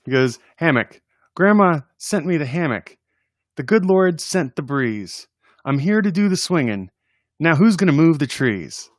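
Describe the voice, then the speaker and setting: Slow-paced man casually reading children's poems aloud. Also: a little high, big pitch swings, no room sound, studio quality. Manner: informal, chuckling, chatty asides between readings. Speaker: reader presenting children's poems.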